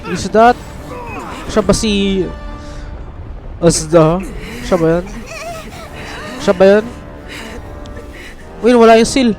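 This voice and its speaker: Filipino male game streamer, high voice, drawn-out pace, joking slangy commentary, faint music. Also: darkish tone. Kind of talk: casual gameplay commentary.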